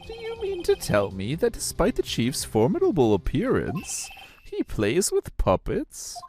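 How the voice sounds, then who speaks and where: Squeaky old judge guy all flustered and confused, voice swooping way up high, bit of game music under it. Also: huge pitch swings, measured pace, a little mellow, heavily acted. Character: excitable elderly male judge.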